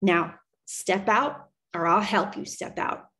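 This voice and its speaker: A woman's voice: mid-range voice, slow pace, fairly bright tone. A woman voicing a tough, plainspoken woman stagecoach driver with a firm, folksy way of talking in a storybook. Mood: stern. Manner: commanding, folksy frontier talk.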